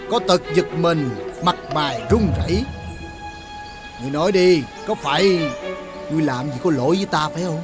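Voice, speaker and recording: High-pitched, blustering nobleman. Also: over music. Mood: suspicious, menacing. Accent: Southern Vietnamese. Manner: theatrical, halting with drawn-out hesitations. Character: haughty, blustering male nobleman marquis, sore loser. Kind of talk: costume fairy-tale film acting.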